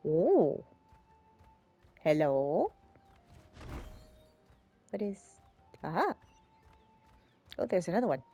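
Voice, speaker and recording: A woman's voice: lowish voice, very animated, extremely slow, long pauses, dark tone, husky. A woman giving casual, reactive let's play commentary, often muttering to herself as a game streamer. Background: game music.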